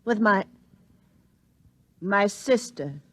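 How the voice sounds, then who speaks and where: A woman's voice: mid-range voice, lively intonation, very slow, a bit bright, dry recording, heavily acted. An actress playing a wary, slangy bar girl in 1950s radio drama, guarded and streetwise. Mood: nervous, reluctant. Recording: vintage 1950s radio recording, music underneath.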